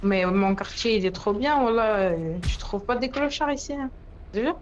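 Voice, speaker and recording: A woman's voice: fairly high pitch, animated, moderate pace. A young woman from Tunisia bantering casually and brushing off her friend on a call. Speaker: chat participant. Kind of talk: casual joking chat over a call. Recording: lo-fi call with loud noise.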